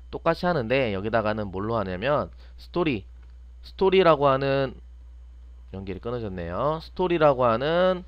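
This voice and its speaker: A man's voice: mid-range voice, dry recording, highs cut off. A man teaching an unscripted step-by-step screen tutorial, often asking questions and answering them himself. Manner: asks and answers own questions. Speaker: teacher, online programming instructor.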